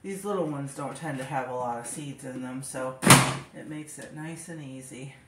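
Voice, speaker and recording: A woman with a stuffed-up, congested voice talks her way through chopping and bagging food in her home kitchen, filling pauses with easygoing ums. The room rings with echo, and steady background noise sits under her voice. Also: a bass voice. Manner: chatty, thinks aloud with fillers. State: stuffed-up nose. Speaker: home cook vlogger. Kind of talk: casual kitchen demonstration.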